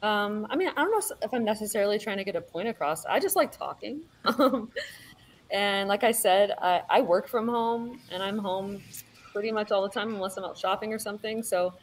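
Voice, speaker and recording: A woman's voice: medium pitch, a little fast, slight background noise, rough recording. A woman in her thirties chatting casually on a video call from home, laughing easily, with many ums. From born in California, raised in Virginia. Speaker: panel guest. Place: home room indoors. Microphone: webcam on a video call.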